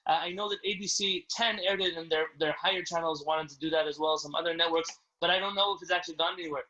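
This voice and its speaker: A man with a high, bright voice speaks as a rabbi taking questions from his community. He talks fast and courteously, over a video call. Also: steady tone, highs cut off. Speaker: rabbi leading a community address. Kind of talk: question-and-answer address to a community. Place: indoors on a Zoom video call. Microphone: video call microphone. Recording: low-quality video call audio.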